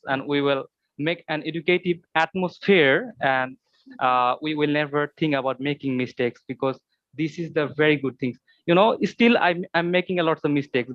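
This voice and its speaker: A man's voice: fairly high pitch, no room sound, dull audio. A man from Bangladesh, a Bengali speaker with a Bangladeshi accent, talking earnestly in a casual discussion, full of fillers and restarts. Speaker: English learner.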